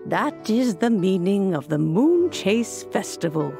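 An actress playing a kindly, wise old woman in a fantasy game, unhurried and reflective as she tells stories. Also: medium pitch, sing-song, creaky, faint music behind. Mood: reflective storytelling. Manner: unhurried, gently chuckling, reflective. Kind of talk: scripted fantasy game dialogue.

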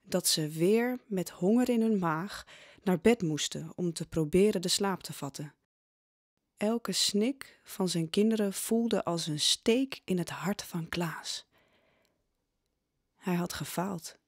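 A woman tells a story as the narrator of an audio drama. She speaks very slowly in a slightly low voice. The sound is dry, with no room echo.

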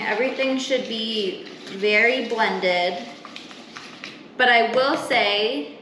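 A young adult woman giving a casual, step-by-step cooking demonstration in an informal, explanatory way while she works in a home kitchen. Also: fairly high pitch. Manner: informal, explanatory, talking while working with her hands. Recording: roomy kitchen echo, clear background noise.

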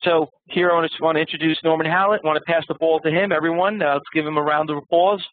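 Guy introducing a speaker at an online expo, bit high voice, thin phone-like sound. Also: fairly even intonation, no echo. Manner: halting, repetitive with many fillers. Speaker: event host. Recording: tinny phone-like online audio.

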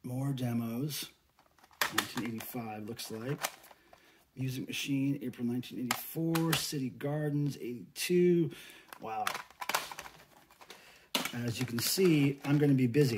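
A man's voice: medium pitch, a little slow, noisy background. A middle-aged American man talking casually in a folksy, informal way, as in an unboxing vlog at home. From United States. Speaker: vlogger, record collector and fan site manager. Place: small indoor room at home.

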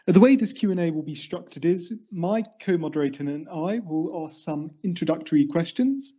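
A man's voice: fairly high pitch, warm tone, slightly rough. A man moderating an online Q&A, reading a prepared introduction. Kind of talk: hosting an online Q&A.

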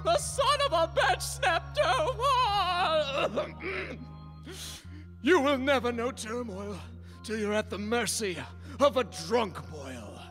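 A high-voiced unhinged villain. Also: male voice, big pitch swings, takes it slowly, over music, exaggerated voice. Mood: ranting. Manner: theatrical, menacing. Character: unhinged, vengeful male villain, menacing and mocking. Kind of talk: slapstick noir radio play acting.

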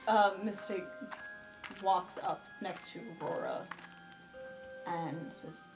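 A woman's voice: fairly low pitch, slow, low-quality recording. A woman playing a tabletop roleplaying game, speaking casually as she improvises. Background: music.

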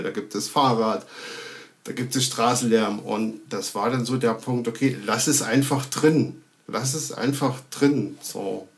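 Halting man telling casual stories. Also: lowish voice, creaky. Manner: halting, thinking aloud. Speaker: sound recordist and film editor. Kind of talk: casual anecdote in an interview. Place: indoor room, video call. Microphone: video call.

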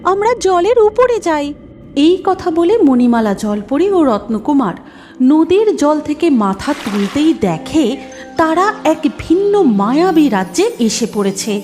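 A woman's voice: sits high, very animated, measured pace, warm tone. A woman narrating a scripted cartoon fairy tale as its storyteller. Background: music.

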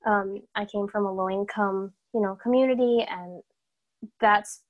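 A woman's voice: medium pitch, a little slow, narrow, tinny audio. A young woman from Massachusetts, introducing herself in an upbeat, chatty way over a laptop video call. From Massachusetts, USA. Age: young adult. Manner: upbeat, energetic, chatty with fillers. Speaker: guest performer. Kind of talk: casual self-introduction.

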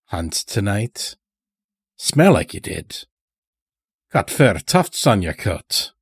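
A man's voice: lowish voice, very animated, takes it slowly, creaky, slightly rough, dry recording, clean studio sound, full character voice. A man voicing a sly, weary old stranger in an audio story, with a folksy, rambling way of talking. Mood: wry, casual. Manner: folksy, rambling, dropped words.

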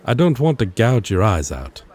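Man playing a husky, possessive young guy. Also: fairly low pitch, very animated, normal speed, a little mellow. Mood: possessive, threatening. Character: possessive, menacing young male friend, protective of his sweetheart. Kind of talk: ASMR roleplay drama.

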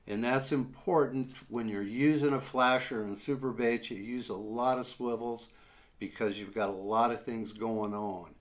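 Older man, slow unhurried delivery, patient step-by-step explainer, small indoor room, desk camera. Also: mid-range voice. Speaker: fishing instructor. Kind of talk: how-to demonstration. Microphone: camera in front at desk distance.

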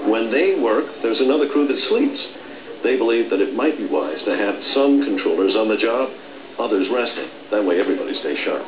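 A man's voice: fairly low pitch, lively intonation, a little fast, a crowd in the background. A middle-aged American man reporting as a television news correspondent. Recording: dull roomy TV sound filmed off screen, noisy.

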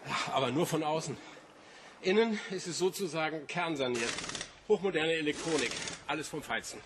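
An actor playing a friendly, proud boat enthusiast in radio drama dialogue, relaxed and reflective. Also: male voice, on the high side, normal speed, noisy background, close to an everyday voice. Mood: affable, proud.

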